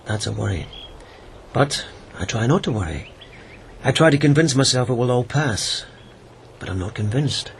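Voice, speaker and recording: A man's voice: mid-range voice, a little hiss or hum, lightly acted. A man playing an earnest, lovesick young officer. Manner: earnest, tender, self-mocking. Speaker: lieutenant. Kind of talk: radio drama acting.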